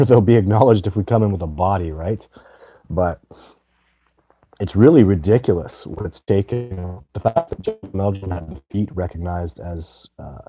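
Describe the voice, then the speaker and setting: A hoarse, raspy-voiced man hosting a casual live chat, answering viewer questions in a rambling, emphatic way with many false starts. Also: low voice, no room sound, dull audio. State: hoarse, sore throat.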